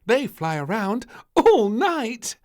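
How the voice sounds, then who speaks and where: A stubborn little princess from a children's storybook, acted out by a man, squeaky and very high. She is loud and whiny, swooping up and down as she drags out her demands. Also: big pitch swings, takes it slowly, exaggerated voice. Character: stubborn, dramatic little princess, a small girl.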